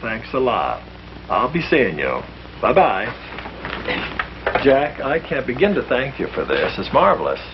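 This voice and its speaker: A man's voice: mid-range voice, huge pitch swings, measured pace, pauses often. A man playing a priest in a 1950s film, earnest and polite. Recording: muffled old film soundtrack, faint hiss.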